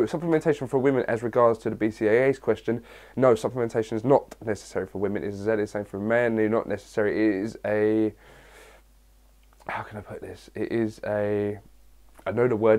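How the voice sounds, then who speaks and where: Hoarse young man with a cold. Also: lowish voice, a little mellow. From England. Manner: chatty, rambling, reads questions aloud. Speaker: fitness vlogger. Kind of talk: casual Q&A vlog.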